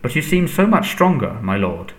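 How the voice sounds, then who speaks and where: A man voicing a nervous, servile, cowardly henchman in a storybook, stammering and pleading in a squeaky voice. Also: big pitch swings, moderate pace, reverberant, natural voice. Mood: panicked, pleading. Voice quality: squeaky when agitated.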